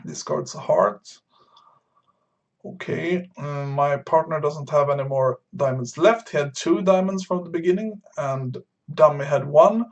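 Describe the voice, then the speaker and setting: A man from Sweden, streaming a bridge game and explaining his moves to beginners while thinking aloud with frequent pauses and fillers. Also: fairly high pitch, lo-fi sound. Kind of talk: live-stream commentary explaining card play.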